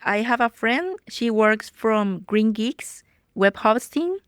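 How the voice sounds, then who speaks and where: A woman's voice: on the high side, expressive, slow pace, smooth, dry recording, studio quality. Cheerful woman from Costa Rica. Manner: cheerful, laughs easily. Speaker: guest, educator. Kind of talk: casual interview chat.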